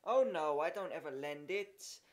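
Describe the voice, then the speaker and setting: A man's voice: a little high, lively intonation, a little slow. A smug, airy little boy, as a man reads him. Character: smug young boy with a velocipede. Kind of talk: storybook reading.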